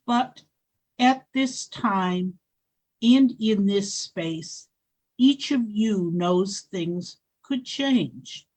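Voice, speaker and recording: A solemn, ageless female spirit from a radio play, played by a woman, who delivers each phrase with great deliberation. She speaks at a very unhurried crawl. Her voice sits at an ordinary middle pitch. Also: a little mellow. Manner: slow, solemn, measured pronouncements. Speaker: wise spirit. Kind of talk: 1940s-style radio drama acting.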